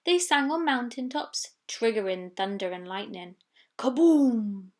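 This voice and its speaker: A woman's voice: fairly high pitch, animated, a little slow. A woman reading a children's storybook expressively, with dramatic delivery of sound words. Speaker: story reader.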